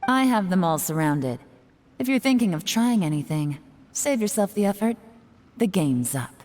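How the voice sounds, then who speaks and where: A woman's voice: mid-range voice, lively intonation, normal speed, darkish tone, big-room sound, slight background noise, close to an everyday voice. An actress playing a confident female spy with a casual, wry delivery. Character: cool, teasing female spy. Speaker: game character.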